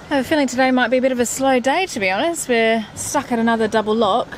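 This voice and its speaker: A woman's voice: fairly high pitch, fast talker. A woman in her thirties chatting candidly to the camera on a boat deck, explaining things as she works. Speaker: sailing vlogger. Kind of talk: casual vlog talk to camera. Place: outdoors on a boat deck on a canal. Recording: outdoor recording with loud engine and wind noise. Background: loud boat engine and wind noise.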